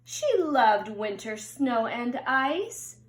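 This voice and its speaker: A middle-aged woman reading a picture book aloud to young children in an expressive singsong way, recorded in a room at home. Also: on the high side, a little hiss or hum. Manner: slow, deliberate, singsong storytelling addressed to children. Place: indoors upstairs at home. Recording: roomy home recording with echo.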